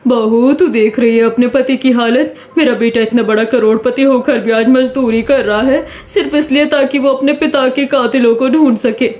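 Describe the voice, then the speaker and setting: A woman's voice: fairly high pitch, steady tone, moderate pace, hardly any pauses, darkish tone, natural voice. A woman playing a worried, long-suffering middle-aged mother in a plaintive cartoon story style. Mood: sorrowful. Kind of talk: Hindi cartoon story acting.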